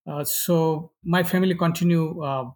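A middle-aged man from Bangladesh with a Bangladeshi accent and Bengali as first language, giving personal testimony haltingly in non-fluent English. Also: a little high, fairly even intonation, darkish tone. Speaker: pastor, interview guest.

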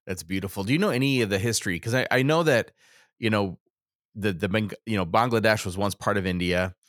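A man's voice: lowish voice, fairly quick, a bit bright, dry recording, clean studio sound. A man hosting a religious interview show in a conversational manner. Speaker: host.

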